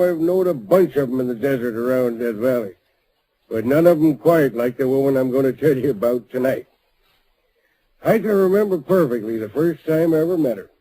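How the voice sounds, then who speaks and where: A folksy old ranger. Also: male voice, medium pitch, dark tone, slightly rough, rough recording. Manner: folksy, drawling, hesitant with "uh". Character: folksy old male desert storyteller, the Old Ranger. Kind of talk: 1940s radio acting. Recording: old radio broadcast recording.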